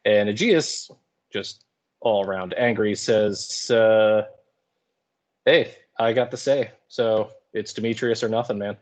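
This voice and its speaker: A man's voice: on the low side, takes it slowly. A man teaching in a casual lecture style, with informal, wry and colloquial paraphrasing. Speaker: high school English teacher.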